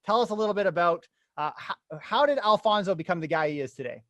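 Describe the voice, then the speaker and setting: A young man hosts an investing podcast with eager, casual enthusiasm. His voice is pitched quite high for a man. The recording is dry, with no room sound. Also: lively intonation, fast, fairly bright tone, no echo. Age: young adult. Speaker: podcast host. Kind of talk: upbeat podcast intro and interview.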